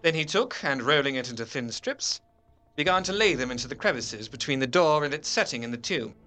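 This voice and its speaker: A man playing an earnest, haunted Victorian gentleman, narrating a horror drama in a measured, literary and expressive way. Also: medium pitch. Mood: grave. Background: music.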